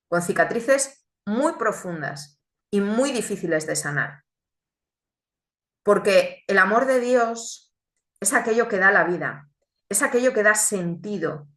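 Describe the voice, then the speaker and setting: A woman, born in Chile, giving an earnest, reflective religious testimony with deliberate pauses. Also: medium pitch, fairly bright tone, echoey room. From Chile, raised in Spain.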